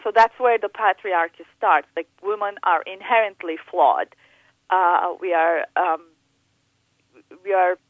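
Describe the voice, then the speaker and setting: A woman from Turkey, a guest in an interview, talking about faith with many pauses, fillers and restarts. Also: mid-range voice, no echo. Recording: tinny phone line.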